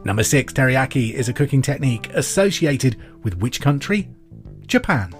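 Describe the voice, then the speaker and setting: A man's voice: medium pitch, sing-song, normal speed, faint music behind. A man with a British accent hosting a pub quiz podcast in an informal, chatty way with jokey asides.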